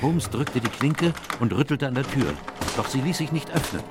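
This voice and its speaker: An actor playing a male detective's companion, narrating a radio play in measured, atmospheric literary style. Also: mid-range voice, lively intonation, very noisy, close to an everyday voice. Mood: grave, atmospheric.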